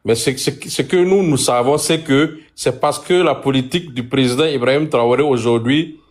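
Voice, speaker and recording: A man speaking on a live stream about politics, hesitant and halting with repeats. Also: medium pitch. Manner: hesitant, many fillers and repeats. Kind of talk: political discussion.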